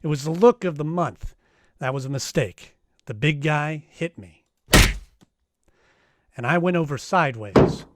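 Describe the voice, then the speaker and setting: An actor playing a tough, wry private detective in a 1940s-style radio drama, narrating and trading lines. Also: male voice, a little high, lively intonation, unhurried, long pauses, heavy background noise. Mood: wry narration.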